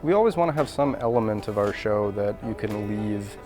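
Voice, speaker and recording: A man answering interview questions casually, in a halting, thoughtful way that often restarts. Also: fairly low pitch, expressive, music in the background. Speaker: radio drama creator and performer being interviewed.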